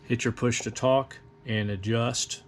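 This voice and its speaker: A man's voice: medium pitch, steady tone, unhurried, slight background noise. A man giving a plain, methodical, step-by-step instructional demonstration in a matter-of-fact way. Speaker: host of radio equipment demonstration.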